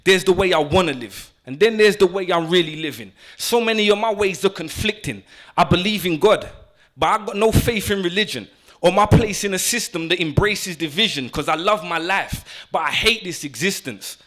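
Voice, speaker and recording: Man, high voice, rhythmic spoken word poet. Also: moderate pace, fairly bright tone. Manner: rhythmic, punchy list delivery. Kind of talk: spoken word poetry performance.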